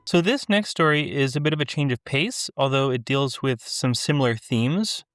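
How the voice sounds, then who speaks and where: Guy reading his own short story on a podcast, mid pitch, slow and careful, super dry mic. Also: a bit bright, smooth, no echo, soft background music. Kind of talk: literary reading and discussion.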